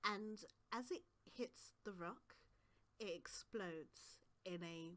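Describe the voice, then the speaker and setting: A woman narrating as game master of a tabletop game, often laughing, her voice slightly husky. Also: mid-range voice, takes it slowly, bright, forceful tone. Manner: laughs often, calls for dice checks.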